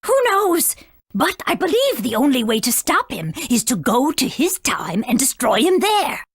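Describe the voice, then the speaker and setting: Proud, husky old female sage. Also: high voice, big pitch swings, no echo, studio quality, heavily acted. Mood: grave, explaining. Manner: haughty, formal, deliberate. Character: proud aged female great sage, stern yet kindly. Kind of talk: video game voice acting.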